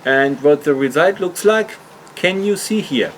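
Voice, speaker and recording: A man's voice: fairly high pitch, expressive, measured pace, slight background noise, rough recording. A man narrating an explanatory hobby vlog in hesitant, slightly awkward non-native English phrasing. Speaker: video host, hobby motorcycle customizer.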